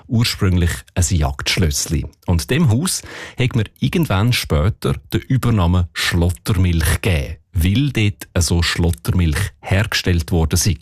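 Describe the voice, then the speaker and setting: Man, Swiss German accent, very low voice, husky, measured radio explainer. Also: darkish tone. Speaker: dialect expert on radio. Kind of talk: scripted radio feature.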